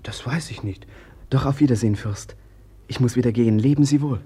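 Very raspy, mellow earnest young lad. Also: male voice, medium pitch, a little fast. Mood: earnest, secretive. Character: earnest, eager youth bringing confidential messages. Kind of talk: classic German radio drama acting.

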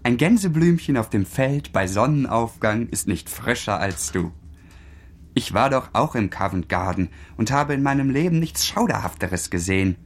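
An actor in a German radio drama playing a charming, arrogant young aristocratic student, languid, teasing and condescending. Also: male voice, medium pitch. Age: young adult.

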